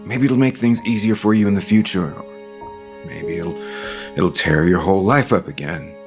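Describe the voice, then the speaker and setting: An actor playing a supportive but conflicted man in love in an audio soap opera, gentle, sincere and sometimes halting. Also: fairly low pitch, music in the background, close to an everyday voice. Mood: vulnerable, loving.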